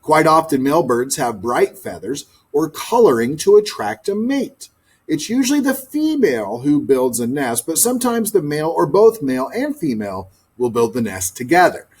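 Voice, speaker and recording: A man narrating a children's book in an expressive read-aloud style. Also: mid-range voice, breathy edge. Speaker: storybook reader, teacher.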